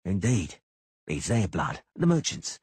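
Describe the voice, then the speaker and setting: A low, drawling male killer who hisses his threats very slowly, as a man reading the story voices him. The tone is husky and close, with no room around it. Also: sing-song, darkish tone, no room sound, full character voice. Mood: menacing drawl. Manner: drawling, hissing.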